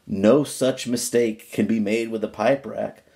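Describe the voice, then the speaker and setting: A man's voice: fairly low pitch, fairly even intonation, measured pace, creaky, warm tone. A man giving an amateur dramatic reading of an old book, stressing words emphatically and adding wry asides.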